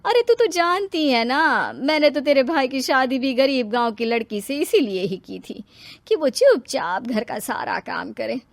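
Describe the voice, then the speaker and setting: A woman plays a selfish older mother in a cartoon tale. She brushes things off in a dismissive drawl, fairly high and recorded dry. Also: big pitch swings, measured pace, dry recording, exaggerated voice. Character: older selfish, work-shy mother of married daughter. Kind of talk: cartoon story character acting.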